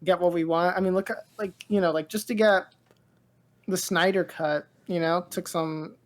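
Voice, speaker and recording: A woman's voice: fairly low pitch, fairly even intonation, normal speed. A woman co-hosting a show in casual chat, with informal, slangy talk full of "like" and "dude". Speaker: co-host of a pop culture show.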